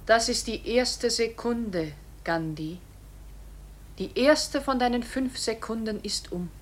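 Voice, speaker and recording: Gentle deadly bullet, faintly noisy recording. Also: female voice, a little high, slow. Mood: tender, eerie. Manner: soft, careful, soothing. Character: gentle deadly bullet speaking to its dying victim. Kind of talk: poetic radio play acting.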